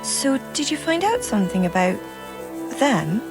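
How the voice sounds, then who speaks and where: A woman's voice: a little high, sing-song, a little slow, vocal fry, over music, exaggerated voice. A woman playing a stoic, world-weary widow in a video game cutscene, plain-spoken and resigned.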